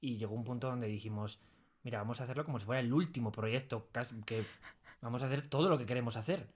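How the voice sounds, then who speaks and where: A man's voice: medium pitch, fast. Castilian Spanish man chatting in an interview. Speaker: audio drama creator being interviewed. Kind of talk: casual conversational interview. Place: recording studio in Madrid.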